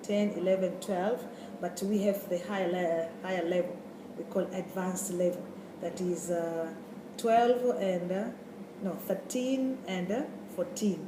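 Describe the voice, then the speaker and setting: A woman speaks haltingly, pausing on "uh" and restarting her sentences as she explains. She sounds roomy and set back from the microphone. A steady noisy ambience runs underneath her voice. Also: fairly low pitch. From Tanzania. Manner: hesitant, many uh pauses and restarts. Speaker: secondary school principal. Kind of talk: casual interview answers. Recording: echoey room, far from mic, noisy.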